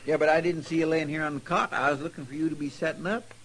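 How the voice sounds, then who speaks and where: An actor playing a fretful, feisty marshal's helper in a radio western, with a rustic, stubborn manner and a Western American drawl. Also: male voice, on the high side, fairly even intonation, fast, a bit bright. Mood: hesitant. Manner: rustic, plain-spoken, stubborn. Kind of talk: old-time radio western acting. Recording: noisy vintage radio recording.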